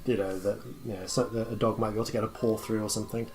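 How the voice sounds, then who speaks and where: A man running a tabletop game as game master, describing scenes off the cuff and haltingly as he thinks aloud. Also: lowish voice, little pitch movement, a little mellow. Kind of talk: improvised narration of a tabletop game.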